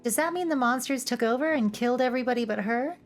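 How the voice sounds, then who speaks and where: A woman with a high voice delivers humorous scripted commentary as a host. She slips in playful, cheeky asides. Music plays behind her. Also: fast talker. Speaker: video essay host. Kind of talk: scripted, humorous commentary over cartoon clips. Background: background music.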